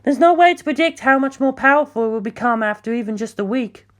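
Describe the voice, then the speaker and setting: A narrator putting on a high, clipped woman scientist. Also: expressive, quick pace, close to an everyday voice. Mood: analytical. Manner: clipped and precise. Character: clipped, precise, world-weary woman scientist.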